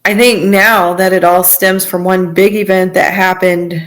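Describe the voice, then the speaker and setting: A woman speaking as a podcast guest, giving a reflective, halting personal testimony in a conversational way. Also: lowish voice, steady tone, fairly bright tone.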